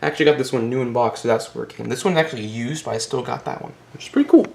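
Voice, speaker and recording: A man's voice: medium pitch, racing, gravelly, darkish tone. A man giving a casual, unscripted show-and-tell as a hobbyist video host, rambling a bit and correcting himself with mild enthusiasm.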